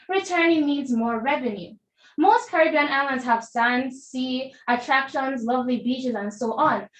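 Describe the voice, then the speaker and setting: High-voiced Jamaican student debater. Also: female voice. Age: young. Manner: emphatic, rhetorical, addresses judges. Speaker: debater, deputy prime minister speaker. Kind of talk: formal school debate speech. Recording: echoey room.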